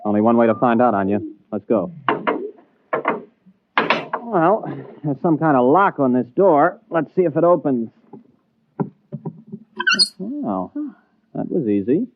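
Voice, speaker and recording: An actor playing a plain-spoken American rocket captain in his twenties, steady and casual, in a radio drama. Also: medium pitch, slow, pauses often. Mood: confident. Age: 20s. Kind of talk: 1950s radio drama acting and first-person narration. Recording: noisy, phone-like 1950s radio recording.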